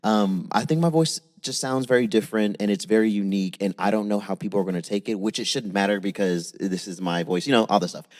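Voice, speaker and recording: A man in his thirties, a singer chatting casually as a podcast guest, animated and self-deprecating. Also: on the low side, fast talker, hardly any pauses. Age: 30s. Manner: animated, self-deprecating, breaks into playful voices.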